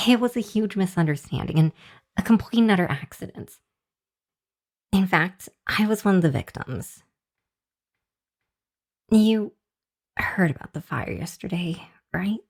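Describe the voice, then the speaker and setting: Female actor for nervous hellhound girl, ASMR monster roleplay. Deep for a girl, slow, apologetic, hesitant. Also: vocal fry, darkish tone, exaggerated voice. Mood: embarrassed, defensive. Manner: hesitant, sheepish, stammering. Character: nervous, apologetic young hellhound girl seeking work as familiar. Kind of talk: ASMR roleplay addressed to the listener.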